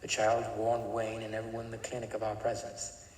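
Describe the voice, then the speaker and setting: A disfigured criminal chemist, played by a man, with a very low voice and a calm, clipped, detached delivery. He sounds set back from the mic in an echoey room with a long tail of reverb. Faint background noise sits under the voice. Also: a little fast, far from the mic, close to an everyday voice. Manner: calm, clipped, matter-of-fact. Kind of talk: fan audio drama acting.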